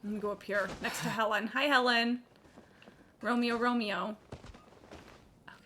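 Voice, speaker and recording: A woman live-streaming a game with casual, expressive, sweary chatter. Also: fairly high pitch, normal speed, slight background noise. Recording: stream with faint game music behind. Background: game music.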